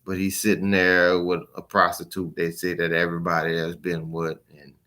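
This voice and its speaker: A man talking casually and informally as a book reviewer, in a halting, rambling way that trails off at the ends of phrases. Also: deep voice, monotone, pushed and bright.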